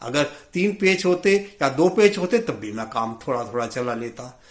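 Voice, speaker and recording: A man playing a flustered, excitable young city man in a moral story, exclaiming in an agitated way. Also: medium pitch, moderate pace, close to an everyday voice. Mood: exasperated. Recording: roomy, echoey sound.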